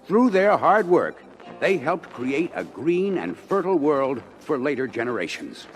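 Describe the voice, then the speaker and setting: An actor playing a grave, dignified space-colony minister giving a formal, measured speech on an audio adventure record. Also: male voice, fairly high pitch, sing-song. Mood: grave, lecturing. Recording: vintage LP with music behind.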